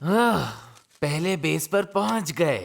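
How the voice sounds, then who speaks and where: Kindly old grandpa in a kids cartoon, slow and swoopy, music behind. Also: mid-range voice, expressive, vocal fry. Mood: busy, cheerful. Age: older. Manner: chuckling storyteller with musing hums and ohs. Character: kindly, playful grandfather, an old former climber. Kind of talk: children's cartoon dubbing.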